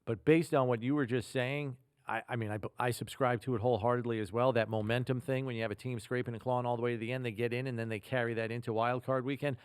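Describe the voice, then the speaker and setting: A man hosting a sports podcast, explaining football scenarios in a measured, deliberate way. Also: medium pitch, fairly even intonation, clean tone, no room sound, clean studio sound. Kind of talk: football analysis talk.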